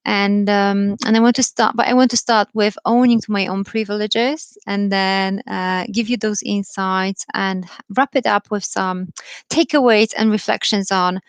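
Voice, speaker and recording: A woman's voice: medium pitch, clean tone, dry recording. A woman in her thirties from Poland with a Polish accent, giving an informal live talk, thoughtful and full of ums and restarts. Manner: thoughtful, many fillers and restarts. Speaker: career speaker.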